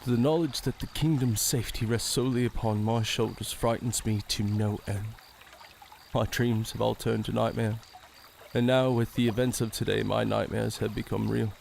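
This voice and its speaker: A nervous young sheriff in an audio drama, played by a man. His voice sits low with a gravelly edge, and he talks in formal, halting sentences. Faint noise hums behind him. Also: fairly even intonation, a little mellow. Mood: anxious, confiding. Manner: formal, halting, self-doubting.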